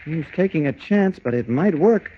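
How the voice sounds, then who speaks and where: An actor playing an eager, quick-witted newspaper reporter hero in 1940s radio drama style. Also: male voice, a little high, animated, a little hiss or hum, lightly acted. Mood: eager, persuasive. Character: eager, resourceful male newspaper reporter, mild-mannered hero. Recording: muffled 1940 radio recording.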